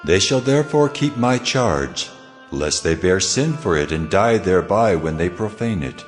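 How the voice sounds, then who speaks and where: A deep, solemn divine voice. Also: male voice, expressive, normal speed, in a large hall, faint music behind. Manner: grave, commanding declarations. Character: the Lord, solemn divine male voice giving commands. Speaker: voice of God in a dramatized scripture reading. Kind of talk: scripted dramatic reading.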